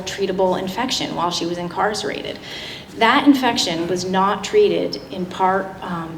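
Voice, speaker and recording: A woman giving a public slide presentation in a conversational, halting way with frequent asides. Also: fairly low pitch, creaky. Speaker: guest speaker giving a public talk. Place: indoor public talk venue. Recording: roomy, echoing hall with noticeable noise.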